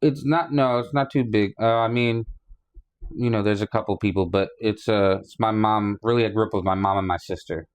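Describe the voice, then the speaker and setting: A man in his mid-thirties from New York talks in a casual podcast chat, his voice sitting low. He rambles easily, stacking fillers and swear words as he tells his story. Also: steady tone, fairly quick. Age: 30s. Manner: laid-back, rambling with fillers and profanity. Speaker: guest rapper and painter.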